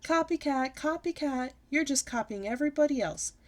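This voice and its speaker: A woman's voice: on the high side, animated, moderate pace, a little mellow, voice pushed up, lightly acted. A woman voicing a mean little boy who teases in a singsong taunt.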